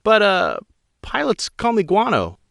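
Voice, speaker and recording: A woman's voice: wildly swooping pitch, unhurried, creaky, no room sound, clean studio sound, exaggerated voice. Man doing a shaky-voiced young woman pilot. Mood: nervous. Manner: raised, strained falsetto, hesitant. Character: nervous young woman shuttle pilot, flustered. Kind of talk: audiobook character voice.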